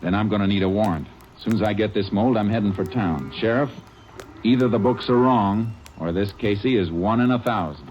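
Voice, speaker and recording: Calm methodical lawman, voiced by a man, matter-of-fact, very low voice, muffled hissy vintage broadcast. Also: a little fast, lightly acted. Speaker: Texas Ranger investigator. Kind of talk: 1950s radio drama acting and narration. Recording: muffled hissy old radio recording.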